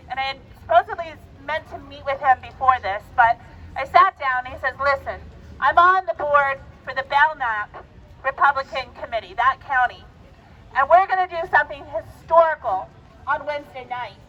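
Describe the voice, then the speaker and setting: A woman recounts a personal story at an outdoor rally, putting questions to her listeners. Her voice sits high. The sound is slightly dull, with faint background noise. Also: fairly bright tone. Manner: storytelling, rhetorical questions to the crowd. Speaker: rally speaker. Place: outdoor rally by a state capitol.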